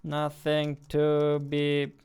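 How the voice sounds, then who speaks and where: Young man, casual coding devlog, slightly high voice, unhurried, clean tone, close studio mic. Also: monotone, a little mellow, clear, resonant tone. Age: 20s. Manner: halting while typing, reads text aloud slowly. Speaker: indie game developer. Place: indoors, at a computer desk. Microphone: studio mic on boom arm, close.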